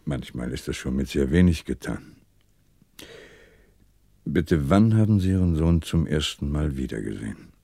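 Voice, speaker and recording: Shrewd, animated police commissioner. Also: male voice, extremely low pitch, moderate pace, vocal fry, pauses often, full character voice. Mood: grave. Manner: wry, confident, reassuring. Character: shrewd, self-assured male French police commissioner, slyly manipulative. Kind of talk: 1960s German radio crime drama acting.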